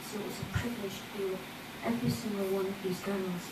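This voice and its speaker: An older woman speaks warmly and reflectively on a panel. Her voice sounds far off and echoes in the room. Steady background noise sits behind her. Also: mid-range voice, quick pace. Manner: warm, reflective. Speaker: panel member, support group member.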